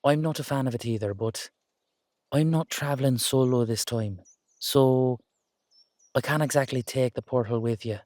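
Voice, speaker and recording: A man's voice: medium pitch, moderate pace, darkish tone, no echo, close to an everyday voice. A man playing an exasperated but affectionate Irish dullahan rider, chatting coaxingly and teasingly to his horse in a roleplay audio drama. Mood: coaxing, reasoning. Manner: conversational, coaxing, teasing, slangy.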